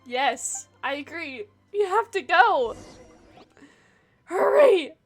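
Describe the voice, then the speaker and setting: A woman's voice: extremely high pitch, sing-song, slow, faint music behind. A woman game streamer reacting casually and informally as she plays.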